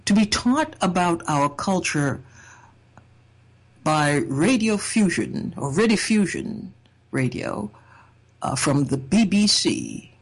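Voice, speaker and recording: A woman from Trinidad speaks slowly and thoughtfully, reflecting on her own life. Her voice is a little husky, and she lets in a dry, wry humor now and then. She takes her time over each phrase. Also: fairly low pitch, sing-song, low-quality recording. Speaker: speaker sharing a personal essay. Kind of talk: reflective personal talk.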